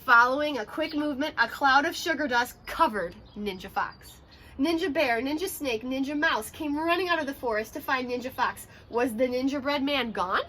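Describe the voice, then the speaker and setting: Young female storyteller, high-voiced and dramatic, reading for children outdoors with background noise. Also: normal speed, bright, forceful tone. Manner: dramatic, carefully paced for children. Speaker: teacher reading aloud. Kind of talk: expressive children's storybook narration. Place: outdoors on a porch by a brick wall.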